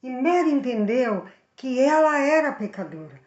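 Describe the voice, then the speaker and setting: A woman with a Brazilian accent telling a Bible story for children, speaking directly to the young viewers in an animated way. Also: high voice, normal speed, reverberant, dull audio. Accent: Brazilian Portuguese.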